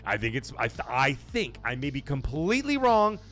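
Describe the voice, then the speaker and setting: A man's voice: medium pitch, big pitch swings, normal speed, fairly bright tone, faint music behind. A man hosting a gaming livestream, talking casually and emphatically to his chat, often checking in with his listeners as he argues his point. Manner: emphatic, persuasive, keeps checking in with "okay?" and "right?".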